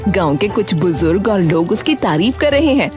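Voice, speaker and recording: A woman's voice: mid-range voice, sing-song, moderate pace, over music. A woman delivering scripted narration for a cartoon story.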